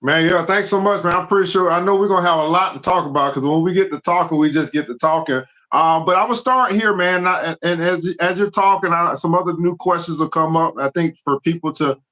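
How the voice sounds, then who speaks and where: A man with a fairly high voice hosts an interview in a relaxed way. He rambles, restarts his sentences and keeps calling his guest "man.". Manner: loose, rambling, friendly, says "man" a lot. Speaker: show host. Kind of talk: casual interview hosting.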